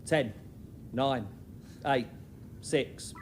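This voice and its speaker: A man's voice: mid-range voice, very slow. A British man playing a pedantic, smug, bungling genius at a ship's controls in a sitcom, unflappable and self-assured. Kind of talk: sitcom acting. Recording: noisy, loud ambience behind.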